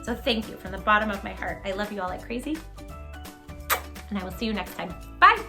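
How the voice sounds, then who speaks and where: A woman hosting a children's read-aloud, expressive and encouraging, emphasising words and chatting directly to young listeners. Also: medium pitch, brisk, over music. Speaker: storybook reader, channel host.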